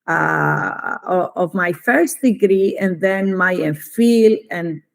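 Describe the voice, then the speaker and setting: A middle-aged woman with a Greek Cypriot accent, a native Greek speaker, giving formal, deliberate academic answers as an interview guest, with frequent pauses. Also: on the low side, very animated. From Cyprus. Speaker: economics professor, interview guest.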